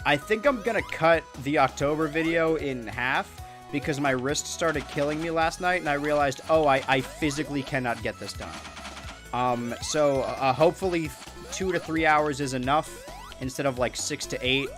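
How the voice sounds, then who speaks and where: A young American man with a monotone voice, chatting loosely while streaming a video game, rambling with many restarts. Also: fairly high pitch, moderate pace, faint music behind. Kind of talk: casual gameplay chat.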